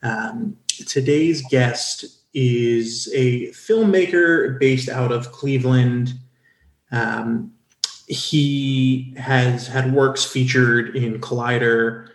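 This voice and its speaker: A male podcast co-host talks in a chatty, hesitant way, padding his questions with fillers and restarts. His voice sits at an ordinary middle pitch, and the recording carries a roomy echo. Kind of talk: casual podcast interview.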